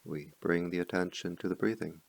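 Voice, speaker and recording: A man leading a guided meditation as a teacher, speaking in slow, measured phrases with pauses between them. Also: low-pitched, fairly even intonation, gravelly, no echo.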